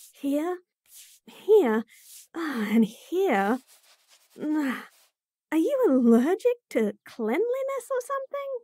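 A woman's voice: high-pitched, big pitch swings, extremely slow, long pauses, dark tone, no room sound. Sassy bunny girl chef, woman acting it, bouncy singsongy voice, takes her time, super dry recording. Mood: teasing. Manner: sassy, bratty, teasing. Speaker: voice actor in a roleplay audio. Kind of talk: F4M roleplay audio, acted monologue.

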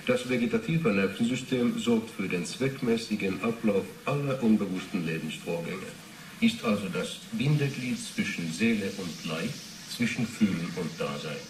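A man acts an earnest Finnish neurology doctor in his thirties, defensive under questioning and prone to lecturing. His voice is low and articulate. The radio drama recording is roomy, with clear noise behind him. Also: expressive, normal speed, far from the mic, lightly acted. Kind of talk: 1980s German radio drama acting. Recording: roomy, noisy interrogation recording.

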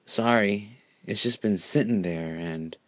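A man's voice: lowish voice, a little slow, no room sound, natural voice. A man voicing an easygoing, cheeky female unicorn in a casual, breezy way for a story reading. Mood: eager, casual.

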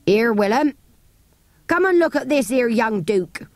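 A gruff English horse dealer from a storybook, acted out by a woman, sneers and bullies in working-class speech. He drags out his words mockingly, with big swings in pitch. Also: expressive, no room sound. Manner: mocking, bullying, drawn-out words.

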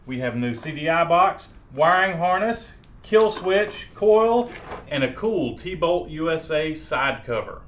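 A man's voice: on the high side, expressive, a little slow, slight background noise. A man in his thirties, a mechanic host chatting casually as he rebuilds an engine in his garage workshop. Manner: easygoing, talks while working with his hands.

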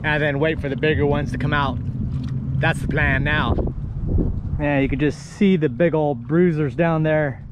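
Man, middle-aged, high-pitched, chatty fishing commentary, action camera outdoors, wind and water noise loud behind. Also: fairly even intonation, moderate pace. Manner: chatty, exclamatory, talks to himself. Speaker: kayak fishing vlogger. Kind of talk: casual running commentary while fishing. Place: kayak on open water outdoors. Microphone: action camera mounted on kayak.

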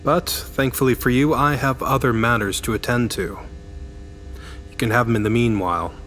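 An actor playing an authoritative male police chief in an audio drama, formal and quietly menacing. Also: mid-range voice, steady tone, normal speed, natural voice. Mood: veiled threat. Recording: faint mains hum.

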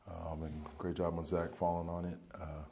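Very deep, flat-voiced young man. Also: vocal fry, slight background noise. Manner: halting, many ums and you-knows, low-key. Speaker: football player. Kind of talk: post-game press conference answers. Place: press conference room, indoors. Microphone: podium mic in front.

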